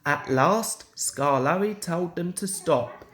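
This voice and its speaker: Slow, low-pitched woman reading a storybook. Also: expressive, fairly bright tone, roomy sound. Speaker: storybook reader. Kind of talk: children's story narration.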